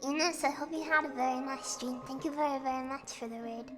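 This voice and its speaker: A woman with a girlish, slightly croaky voice chatting casually on a livestream in a playful, self-deprecating way. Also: brisk. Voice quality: high, girlish voice. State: slightly croaky and sniffly from singing. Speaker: livestreamer hosting a chat and karaoke stream. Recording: music behind the voice. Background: music.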